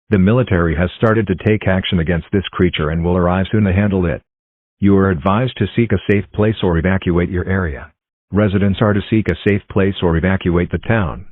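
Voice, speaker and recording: Phone-like, low-pitched synthetic male alert announcer. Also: dry recording. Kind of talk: formal emergency broadcast announcement, read. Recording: muffled narrow-band phone-like audio, dry.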